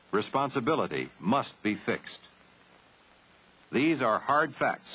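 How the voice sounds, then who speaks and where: An American man narrating a 1970s military training film from a script, formal, grave and measured. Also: fairly low pitch, fairly bright tone, husky. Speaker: documentary narrator. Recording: dull 1970s film soundtrack, faint noise.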